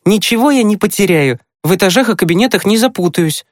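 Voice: medium pitch, animated, quick pace, no echo, clean studio sound, voice pushed up, close to an everyday voice. An audiobook narrator voicing an eager, boastful boy who is finishing primary school. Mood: excited. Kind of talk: acted audiobook dialogue.

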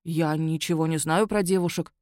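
A woman's voice: low voice, fairly even intonation, normal speed, darkish tone, dry recording, studio quality, close to an everyday voice. A woman voicing an indignant, whiny male werewolf under arrest, protesting and complaining in a raised voice. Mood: defensive. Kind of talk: audiobook character voice.

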